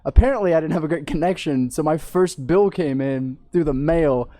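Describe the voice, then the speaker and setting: A man's voice: a little high, normal speed, mellow, no room sound. A man who is a podcast guest, joking and cursing while he tells casual stories between laughs. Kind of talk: casual banter and storytelling.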